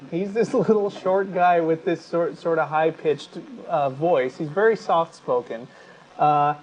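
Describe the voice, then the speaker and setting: A man hosting a talk show, giving conversational commentary in a halting way, as if thinking aloud. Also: fairly high pitch. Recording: muffled lo-fi audio with background noise.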